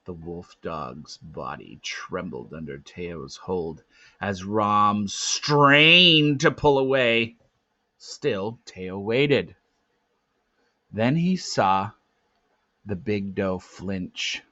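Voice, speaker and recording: A man reading a storybook aloud as narrator, with dramatic, suspenseful swells and hushed drops. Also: on the low side, drawn-out words. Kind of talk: expressive storybook read-aloud. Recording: dull lo-fi, roomy echo.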